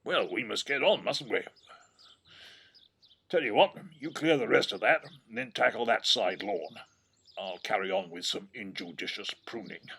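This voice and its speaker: Old english grandpa in an audio play, gravelly deep voice, wry affectionate rambler. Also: male voice, expressive, moderate pace, sharp tone, heavily acted. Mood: brisk. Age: 70s or older. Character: dotty old grandfather, retired lawyer, wry, wistful, affectionate. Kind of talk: naturalistic audio drama acting. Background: birdsong.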